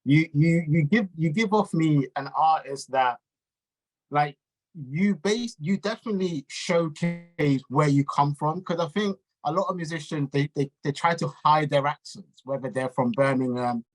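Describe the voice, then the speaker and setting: A man's voice: a little high, moderate pace, low-quality recording. A man hosting a casual interview, talking in an informal, slangy, encouraging way. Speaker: interviewer and show host. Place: remote video call.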